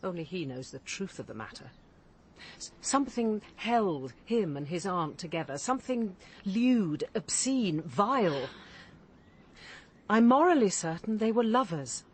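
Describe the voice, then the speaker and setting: A stern English woman barrister and cold mother for radio drama. Her voice is slightly rough and moves expressively up and down. She speaks articulately, with caustic control. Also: medium pitch, very animated, measured pace. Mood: stern, emphatic.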